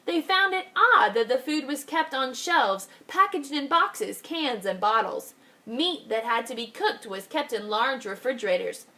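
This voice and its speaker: Kindergarten teacher, woman, fairly high voice, expressive storybook read-aloud with slowed emphasis. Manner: expressive, slows down on key phrases. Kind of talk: reading a picture book aloud.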